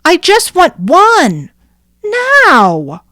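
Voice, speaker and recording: A woman voicing a fierce, bossy little girl in a storybook reading, with shrill, demanding lines. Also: wildly swooping pitch, extremely slow, pushed and bright, no room sound, studio quality, heavily acted.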